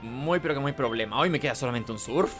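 A man's voice: medium pitch, fast talker. Chilean man muttering game commentary over music. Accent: Chilean Spanish. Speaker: gaming video host. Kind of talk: casual playthrough commentary. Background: video game music behind the voice.

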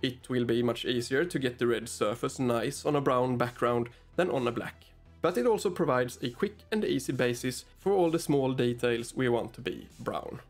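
A Swedish-born man in his thirties talks through a painting tutorial in fluent English, tossing in deadpan sarcasm. His voice sits at an ordinary middle pitch, and soft music plays faintly under him. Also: moderate pace. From Sweden. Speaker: miniature painting channel host. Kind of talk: dry, joking tutorial narration. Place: small dim hobby room, indoors.

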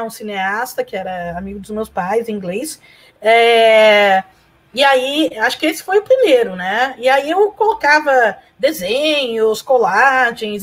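Woman, from Argentina, Spanish native, fairly high voice, chatty, frequent laughs, video call from home. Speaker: guest, professor and blogger. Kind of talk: casual interview chat. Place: home room.

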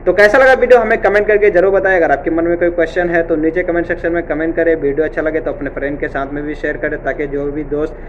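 A man's voice: fairly high pitch, fairly even intonation, fast, few pauses, dull audio, a little hiss or hum. A young man hosting a job-vacancy channel, explaining things casually and repeating reassuring phrases, recorded in an indoor office room on a webcam. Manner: repetitive, reassuring, frequent filler "theek hai".

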